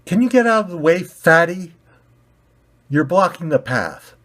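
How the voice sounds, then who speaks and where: A man's voice: high voice, big pitch swings, measured pace, a bit bright, voice pushed up, exaggerated voice. A man voicing a smug, rude, self-righteous storybook red ball, with a condescending, emphatic delivery. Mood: taunting.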